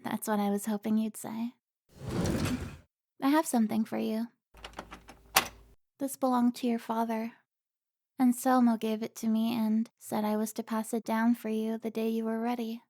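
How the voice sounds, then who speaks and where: A woman's voice: fairly high pitch, flat delivery, normal speed, vocal fry, long pauses, warm tone. A woman playing a stern, protective member of a vampire slayer guild in a cinematic audio roleplay, commanding and scolding. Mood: solemn.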